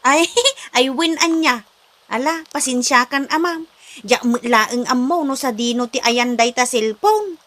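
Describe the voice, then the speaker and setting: A woman's voice: high-pitched, a little slow, a bit bright. A woman with a Filipino accent playing an outspoken housemaid who is polite yet argues back in a comedy drama. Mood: cheeky, protesting. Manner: deferential but argumentative.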